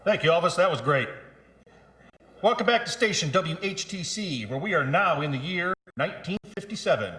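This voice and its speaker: A man's voice: on the high side, expressive, normal speed, pushed and bright, roomy sound. A man speaking as a 1950s radio disc jockey, introducing records and reading a car commercial in an upbeat, salesman-like announcer style. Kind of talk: 1950s radio DJ patter and ad read.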